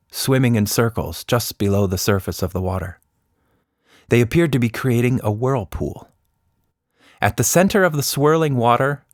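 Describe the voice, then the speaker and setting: A man narrating a scripted children's story in an expressive, dramatic way. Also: on the low side, dry recording, clean studio sound.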